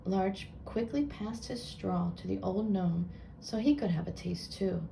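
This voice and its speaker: A woman reading a children's book aloud with expressive narration. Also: medium pitch, a little slow, vocal fry, a little hiss or hum, poor audio.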